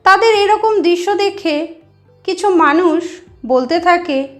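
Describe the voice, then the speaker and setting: A woman's voice: very high voice, takes it slowly, fairly bright tone, faint music behind. A woman narrating a moral story as a channel host, explaining things directly to her listeners.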